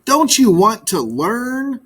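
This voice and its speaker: Man voicing an exasperated lady magpie teacher, drawn-out. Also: very high voice, big pitch swings, husky, pitched above the performer's own voice, exaggerated voice. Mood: frustrated. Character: frustrated female magpie teacher, bossy and exasperated. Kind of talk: storybook character voice.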